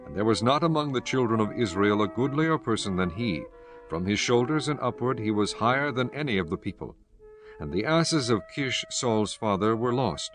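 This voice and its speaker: A man narrating scripture from a script in a measured, formal manner. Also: mid-range voice, expressive, over music.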